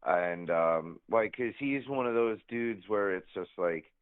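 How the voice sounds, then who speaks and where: Long Island man calling in over a tinny phone line, low voice, dry, rambling and halting. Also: animated, clear, resonant tone, no room sound. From Long Island, New York. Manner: rambling, halting, heavy on fillers. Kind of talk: casual sports talk.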